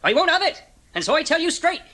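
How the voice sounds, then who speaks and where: An actor playing a proud, prickly English railway porter with a working-class accent and a blustering, plain-spoken manner, in a dramatised audiobook. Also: male voice, very high-pitched, big pitch swings, fast talker, sharp tone, exaggerated voice. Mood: indignant.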